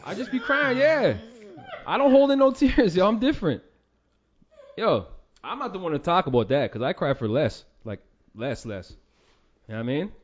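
A man's voice: high voice, very animated, moderate pace, muffled recording. A man co-hosting a casual podcast, joking around and swearing freely. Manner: joking, brash, profane. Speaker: podcast co-host.

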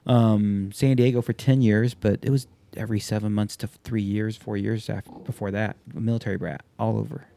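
A man's voice: fairly low pitch, fairly even intonation, normal speed, creaky, few pauses, warm tone, no echo. A man in his thirties hosting a podcast, telling stories in a loose, profane, casual way and acting out quotes. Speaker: podcast host. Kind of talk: casual chat.

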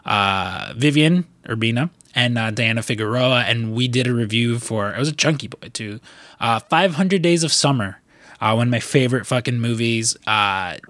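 A man's voice: medium pitch, fairly bright tone, dry recording. Congested man rambling on a podcast. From US Midwest. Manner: loose and profane, rambling with many fillers. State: congested with sniffles. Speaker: podcast host. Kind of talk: casual chat.

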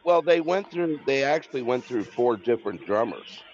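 A man's voice: medium pitch, sing-song, moderate pace. A man co-hosting a podcast in a casual chat, easygoing and telling stories about drumming. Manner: easygoing, storytelling, agreeable. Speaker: co-host, drummer. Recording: dull lo-fi sound.

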